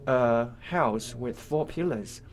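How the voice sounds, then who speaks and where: A man's voice: mid-range voice, takes it slowly, a little hiss or hum. A man explaining local history live in short simple phrases, hesitant and often correcting himself.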